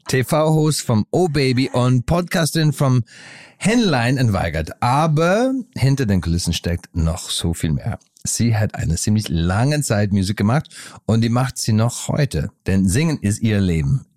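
A man's voice: medium pitch, lively intonation, unhurried, darkish tone, no echo, clean studio sound. A man hosting a podcast, chatty and mixing English phrases into German he learned later in life. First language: not German. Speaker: podcast host. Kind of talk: lively podcast chat and scripted intro.

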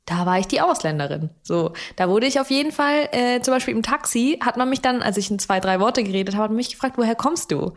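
A woman's voice: fairly high pitch, lively intonation, quick pace, hardly any pauses. Chatty young woman, laughs a lot. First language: Russian. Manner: chatty, laughs often, fillers. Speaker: podcast co-host. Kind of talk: casual chat.

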